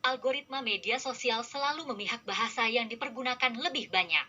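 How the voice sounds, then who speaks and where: Woman narrator, formal even reading, very bright tone, dull lo-fi sound. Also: a little high, fairly quick. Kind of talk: scripted narration.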